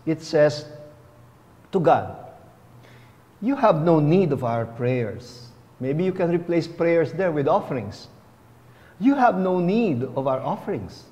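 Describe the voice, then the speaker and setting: A middle-aged man, a bishop, giving a homily at a lectern in a chapel, speaking deliberately on a lapel mic. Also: a little high, darkish tone, in a large hall. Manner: slow, deliberate, teaching tone with rising emphasis. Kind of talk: sermon.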